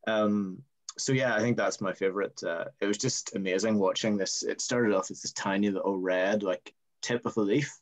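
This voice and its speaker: A man's voice: fairly low pitch, fairly even intonation, moderate pace. A man chatting casually on a video call, a bit hesitant, with lots of ums. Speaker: guest. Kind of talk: casual chat.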